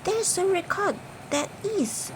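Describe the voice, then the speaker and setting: Woman putting on a high, brash, boastful little girl. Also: big pitch swings, slow pace, noisy background, exaggerated voice. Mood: cocky, excited. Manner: cocky, exclamatory. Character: brash, boastful young girl addicted to chewing gum.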